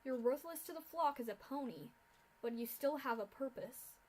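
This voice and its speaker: A woman voicing a cruel, smug male pegasus official who speaks loudly and clearly to captives. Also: high-pitched, normal speed, low-quality recording. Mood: gloating. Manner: loud and clear, gloating.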